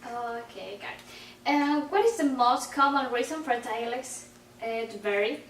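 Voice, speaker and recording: A woman reads her interview questions hesitantly, her voice set high. She is picked up from a distance in a small, echoey room with faint background noise. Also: lively intonation, unhurried. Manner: hesitant, with fillers and restarts. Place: dim small room at home. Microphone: far camera.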